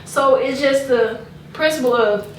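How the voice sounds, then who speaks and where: A woman in her thirties speaks in a fairly high voice, explaining her points emphatically in casual group talk. She sounds a bit far from the mic in an echoey room, with faint noise around her. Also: measured pace. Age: 30s. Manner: emphatic, explanatory, repeatedly checks for agreement. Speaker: podcast panelist. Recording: roomy echo, distant mic, faint noise.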